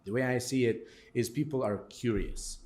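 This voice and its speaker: A man's voice: medium pitch, moderate pace. Man from Moldova, cafe owner. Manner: animated, acts out quoted people. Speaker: coffee shop owner. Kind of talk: casual interview talk.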